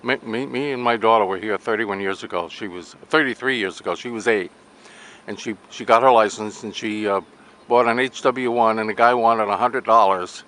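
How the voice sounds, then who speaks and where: A man's voice: on the low side, normal speed, fairly bright tone. An older man doing casual on-the-spot interviews about amateur radio, chatting and sharing anecdotes as he goes. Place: outdoors at a radio swap meet.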